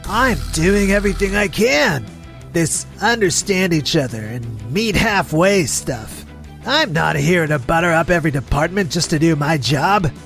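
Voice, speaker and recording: A man's voice: fairly high pitch, expressive, measured pace, creaky, a bit bright, soft background music, full character voice. A man voicing an arrogant, defensive younger brother who works as a sales manager in a manga drama dub. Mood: defensive, rattled. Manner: indignant, defensive.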